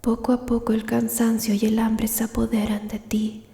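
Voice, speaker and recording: A woman narrating a scripted ASMR story in a soft, hushed, breathy whisper. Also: on the high side, monotone, normal speed.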